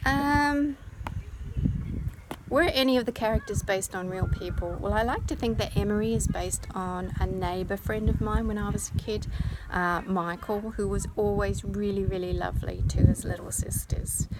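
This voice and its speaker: A woman's voice: medium pitch, fairly even intonation, normal speed. A middle-aged woman from New Zealand with a New Zealand accent, a children's author chatting casually and self-deprecatingly as she answers questions outdoors. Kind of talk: casual outdoor video talk. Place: outdoors on a windy coast. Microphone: phone or camera held by hand. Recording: windy outdoor phone recording. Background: wind noise.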